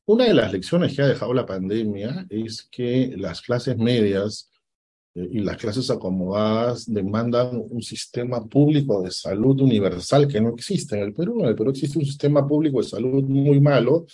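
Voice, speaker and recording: Dark, husky-voiced man. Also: medium pitch, animated. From Peru. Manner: deliberate, stops to search for words. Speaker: political analyst guest. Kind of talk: interview answers.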